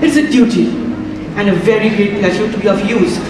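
A young man acting in a stage play as a kind, protective married man, speaking in a calm, measured way. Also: sits high, background noise, close to an everyday voice. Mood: calm, soothing. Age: young adult. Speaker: student actor in a stage play. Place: large theatre stage. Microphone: far camera in the hall. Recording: echoey hall from far off, loud music behind.

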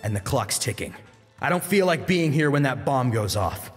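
A man's voice: mid-range voice, expressive, moderate pace, in a large hall, soft background music, voice pushed up, close to an everyday voice. A man voicing a tense, commanding young swordsman in an audiobook, urgently giving orders. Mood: tense, tactical.

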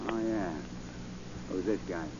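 An actor playing a sullen, insistent psychic with rough, slangy talk in 1940s radio style. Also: male voice, deep voice, fairly even intonation, slow pace. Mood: suspicious. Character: sullen, insistent, streetwise male self-proclaimed psychic. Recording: muffled, faintly noisy 1940 radio recording.

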